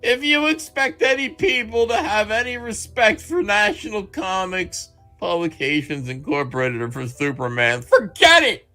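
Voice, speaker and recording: A man's voice: high-pitched, pushed and bright, heavily acted. A man voicing a bitter, destitute, wronged writer, reading his angry letters aloud in a melodramatic, theatrical way. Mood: furious, defiant. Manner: melodramatic, theatrical, swinging from outrage to near-whisper.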